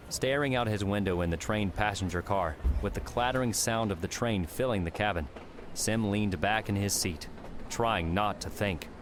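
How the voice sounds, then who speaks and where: A man reading scripted fiction as an audiobook narrator, in a measured storytelling manner. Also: on the low side, background noise.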